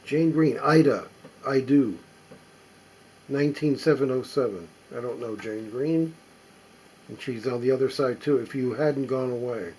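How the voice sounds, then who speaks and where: A man casually talking to himself as he sorts a stack of old records, reading the labels aloud in a halting, musing way. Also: medium pitch, darkish tone, breathy edge, low-quality recording. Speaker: record collector. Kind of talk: casual running commentary while sorting records.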